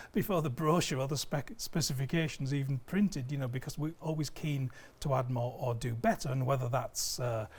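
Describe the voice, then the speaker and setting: British man in his fifties, halting but keen. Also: mid-range voice. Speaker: company sales representative, guest. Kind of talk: conversational product explanation. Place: indoor audio showroom. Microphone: lapel mic.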